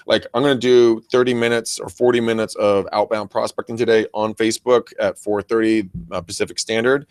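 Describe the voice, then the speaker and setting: Male co-host, American, very loud, enthusiastic and bro-ish, loose unscripted chat with slang and cursing, recorded dry. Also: fairly low pitch, no echo. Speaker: co-host of a real estate training show and agent. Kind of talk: casual unscripted show chat with shout-outs. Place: private office.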